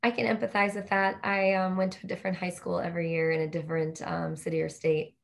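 A woman's voice: lowish voice, little pitch movement, quick pace, vocal fry. A woman hosting an interview, asking short open questions and sharing her own experience with empathy. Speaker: interview host.

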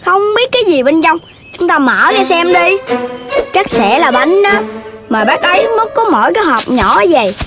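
Cheeky little animal kid, really high voice, cartoon, music behind. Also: female voice, sing-song, moderate pace. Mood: mischievous. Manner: childlike, carefree. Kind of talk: children's cartoon dubbing.

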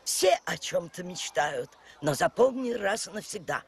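Актриса дубляжа озвучивает суровую пожилую настоятельницу в мультфильме, говорит властно и резко. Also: female voice, lowish voice, very animated, unhurried, gravelly, slightly rough, heavily acted. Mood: stern, cold. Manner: commanding, curt, dismissive. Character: stern, bitter elderly Mother Superior nun. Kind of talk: animated film dub acting.

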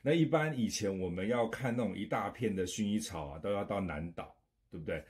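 A middle-aged man, chatting informally to camera as a travel vlogger in a small office. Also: low voice. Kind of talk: casual chat to camera. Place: small indoor home office.

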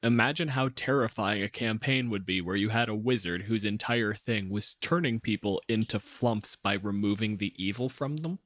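A man's voice: on the low side, normal speed, hardly any pauses, a bit bright, dry recording. A man chatting casually as a podcast panelist, analytical and opinionated in the way he talks. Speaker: podcast panelist discussing tabletop game monsters. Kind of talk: casual chat.

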